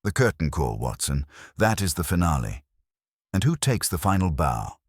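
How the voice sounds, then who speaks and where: A man voicing a keen, brooding master detective in an audio story, explaining in a deliberate, theatrical manner. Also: low voice, very animated, breathy edge, no room sound, studio quality, heavily acted. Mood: dramatic, assured.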